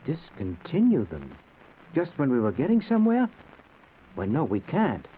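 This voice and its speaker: A man's voice: mid-range voice, huge pitch swings, normal speed. An American man playing an obsessive, nervously intense middle-aged student of death, in earnest 1940s radio drama style. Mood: agitated, determined. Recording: tinny, noisy old radio recording.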